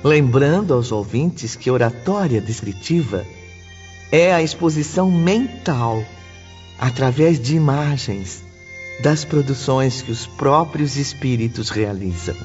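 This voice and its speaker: A man's voice: medium pitch, expressive, slow pace, darkish tone. A Brazilian man giving formal, explanatory scripted narration for a dramatised audiobook. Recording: dull, long reverb, music bed.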